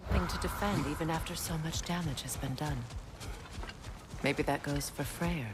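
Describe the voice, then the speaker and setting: An actress playing a proud, reflective goddess warrior in a video game, speaking in a measured, earnest, wistful way. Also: female voice, deep voice, very noisy, close to an everyday voice. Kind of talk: dramatic video game acting. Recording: loud game music behind the voice. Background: loud music.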